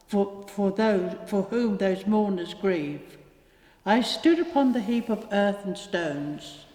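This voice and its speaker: A woman reciting rhyming poetry in a measured reading style. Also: mid-range voice, warm tone. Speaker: poetry reader. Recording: echoey hall with long reverb.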